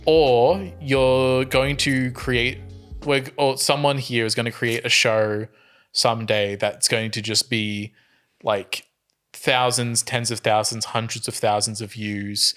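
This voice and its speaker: Man, podcast co-host, average pitch, earnest rambling explanations, casual chat, light music behind. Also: flat delivery, takes it slowly, a bit bright.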